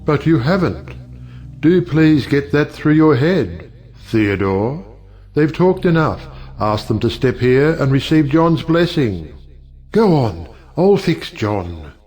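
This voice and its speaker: An actor playing a wry, provocative middle-aged judge and uncle in an audio play, speaking in a rhetorical, oratorical way. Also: male voice, mid-range voice, very animated, slow, a little mellow, breathy edge, exaggerated voice. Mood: persuasive, confident. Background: music.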